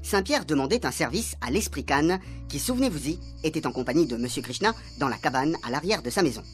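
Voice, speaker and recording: A man's voice: a little high, lively intonation, quick pace, faint music behind. A man reading a scripted recap as the narrator of a radio serial.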